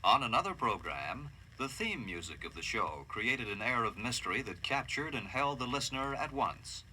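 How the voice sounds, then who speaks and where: Male narrator, scripted retrospective read, mid-pitched, sharp and forceful, faint noise. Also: big pitch swings, normal speed, rough recording. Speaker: documentary narrator.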